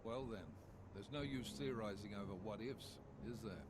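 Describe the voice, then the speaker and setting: A man's voice: on the low side, moderate pace, close to an everyday voice. A man playing an irritable, sceptical commanding officer in a video game drama, brusque and sardonic. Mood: sceptical, curt. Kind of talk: video game cutscene acting. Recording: loud ambient engine-like noise behind.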